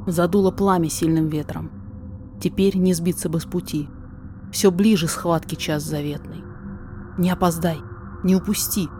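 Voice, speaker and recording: Low-voiced woman narrating dramatic verse. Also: dark tone. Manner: theatrical, deliberate. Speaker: podfic reader. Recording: music behind the voice.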